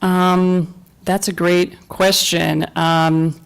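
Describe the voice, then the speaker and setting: A woman's voice: lowish voice, level tone, drawn-out words, gravelly, clear, resonant tone. A woman presenting research at a conference, answering audience questions in a thoughtful, hesitant way with many fillers, recorded in a lecture room. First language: English. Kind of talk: academic talk and Q&A.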